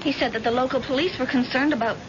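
An actress playing a worried, pleading daughter in an old radio drama. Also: female voice, high-pitched, fast talker, natural voice. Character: anxious, pleading daughter of the captain. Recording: noisy, muffled old radio recording.

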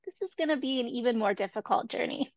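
A woman's voice: fairly high pitch, quick pace, gravelly, dry recording. A woman hosting a podcast interview, speaking in an earnest, reflective way. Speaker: podcast host. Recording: dry, dull lo-fi sound.

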